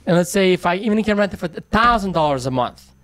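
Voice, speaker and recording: A man in his thirties talking animatedly in a casual podcast chat about money, recorded on a boom mic in a small studio. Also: quick pace, no room sound. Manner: animated, talks with his hands, laughs often. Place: small studio with brick wall. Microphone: boom mic on arm.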